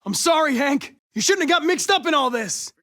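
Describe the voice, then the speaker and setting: A man's voice: extremely high pitch, animated, a bit bright, no echo, studio quality. A man voicing a young android police investigator in a video game, with an American accent and a precise, formal, mission-driven manner. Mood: forceful, alarmed. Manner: precise, clipped, formal, measured, insistent when pressed. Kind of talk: video game voice acting, isolated dramatic lines.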